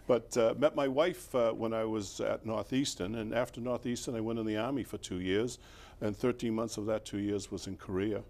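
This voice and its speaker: An older man with a Boston accent, a former mayor recalling his life in a relaxed, rambling interview with fillers and chuckles. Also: fairly low pitch, normal speed, vocal fry.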